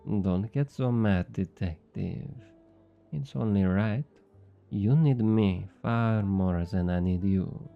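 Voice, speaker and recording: An actor playing a smug, flirty thief with a French accent, teasing and coaxing in an intimate ASMR roleplay. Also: male voice, lowish voice, slow pace, creaky, narrow, tinny audio, faint music behind. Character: obnoxiously flirty, smug male thief and information broker.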